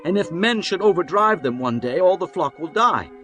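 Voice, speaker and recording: A man voicing Jacob, an anxious older patriarch who pleads humbly, over soft music. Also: high-pitched, expressive, moderate pace, voice pushed up. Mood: pleading.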